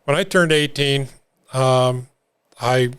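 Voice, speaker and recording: An older man telling his own recovery story in an interview, talking haltingly with many fillers and restarts. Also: medium pitch, fairly bright tone, smooth. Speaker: interview guest. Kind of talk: reflective personal story.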